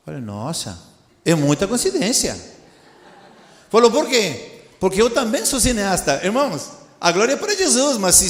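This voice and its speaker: A man preaching in a church, his first language Spanish and his Portuguese carrying a Spanish accent, who tells a story to the congregation and acts out the dialogue. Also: high voice. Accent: Spanish-speaking. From Latin America. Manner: animated, acts out dialogue, addresses congregation directly. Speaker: missionary preacher. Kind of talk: storytelling sermon. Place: church sanctuary. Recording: echoey church hall.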